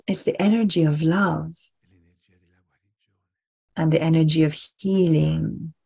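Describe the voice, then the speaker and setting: Very slow, breathy woman guiding meditation. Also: low-pitched, steady tone, mellow. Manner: soothing, deliberate, repetitive, with long pauses. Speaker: guided meditation guide. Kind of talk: slow guided relaxation meditation.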